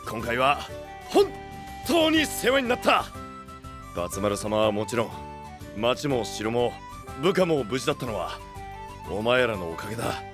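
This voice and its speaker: A man playing a rough, big-brotherly young knight who speaks in blunt slang in an anime-style audio drama. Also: mid-range voice, wildly swooping pitch, measured pace, pauses often, over music. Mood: earnest.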